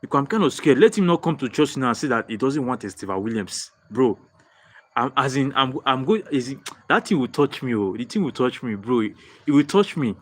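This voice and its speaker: Nigerian man, young adult, live-stream host, excitable, repeats himself, medium pitch. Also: fairly quick. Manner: excitable, repeats phrases, frequent restarts. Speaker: football livestream host. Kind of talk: live-stream fan chat reading viewer comments.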